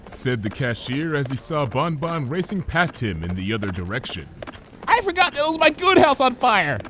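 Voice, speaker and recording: A man reading a scripted comedic story as narrator, in an expressive storytelling manner. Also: medium pitch, slight background noise.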